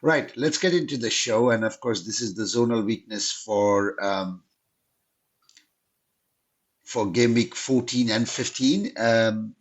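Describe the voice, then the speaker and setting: A man hosting a casual football fantasy podcast, hoarse from a cold, who fills his talk with "um" and "of course". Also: lowish voice, slow, highs cut off. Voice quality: husky. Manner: frequent fillers and "of course". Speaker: podcast host. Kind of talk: casual chat.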